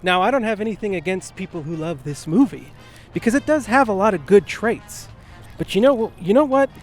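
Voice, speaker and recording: Guy doing a sarcastic scripted review, kinda high voice, music under it. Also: expressive, brisk. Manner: sarcastic, self-deprecating joking delivery. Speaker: host of a video game review channel. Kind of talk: comedic scripted movie review narration.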